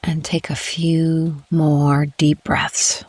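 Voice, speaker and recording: A woman guiding a meditation in a gentle, calm, unhurried way with long pauses between phrases. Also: deep voice, no echo. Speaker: guided meditation host. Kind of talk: soothing guided meditation narration.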